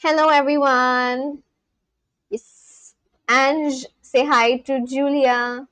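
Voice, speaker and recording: A woman with an Indian accent hosts a live stream and chats with her viewers in a loose, friendly way, laughing often between remarks. Her voice sits high and bright. The sound is dry and close, with no room ring. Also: flat delivery, drawn-out words, clean tone, no room sound, rough recording. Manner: chatty, laughs often, talks straight to chat. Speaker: livestream host.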